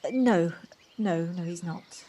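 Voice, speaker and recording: A woman playing a reserved city newcomer in an audio drama, speaking formally and haltingly. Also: lowish voice, warm tone. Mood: polite, guarded. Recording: noisy home recording on personal device. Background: birdsong.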